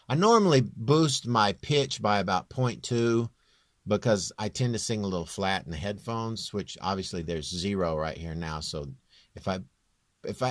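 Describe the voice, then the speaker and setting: Low-voiced man giving an informal tutorial. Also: no room sound, studio quality. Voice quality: low, near-bass voice. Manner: halting, thinking aloud while working. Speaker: home musician demonstrating his vocal production.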